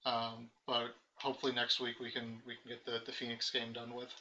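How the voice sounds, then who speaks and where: A man's voice: mid-range voice, fairly quick, lo-fi sound, slight background noise. An American man co-hosting a live card game stream, chatting casually and rambling with lots of fillers. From United States. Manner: relaxed, rambling, many fillers. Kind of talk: casual post-game chat.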